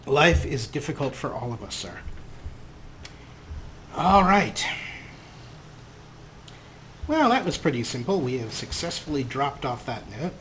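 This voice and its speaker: A man's voice: mid-range voice, very animated, unhurried, pauses often, fairly bright tone, slight background noise, low-quality recording. Wry male let's play commentator. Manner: wry, self-deprecating. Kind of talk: casual gameplay commentary.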